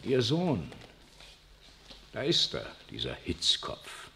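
An imperious aging pope in a 1960s radio drama, lofty and cold. His pitch swings very widely, and the voice rings with a long reverb. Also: wildly swooping pitch, slow pace, creaky, sharp tone, a little hiss or hum, full character voice. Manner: lofty, cold, uses royal we. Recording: long reverb of a large room.

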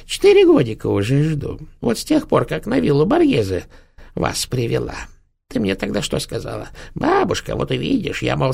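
A man's voice: a little high, creaky, darkish tone, slightly rough, voice pushed up, exaggerated voice. A man voicing a dead, rotting old witch grandmother who sneers and scolds her granddaughter in a cracked, rattling voice. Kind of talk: audiobook narration.

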